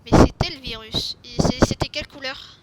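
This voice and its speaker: A woman with a very high voice improvises a character for a game roleplay. She talks hesitantly and often restarts her sentences, and her voice chat carries clear background noise. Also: normal speed. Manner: hesitant, many fillers and restarts. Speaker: roleplay player.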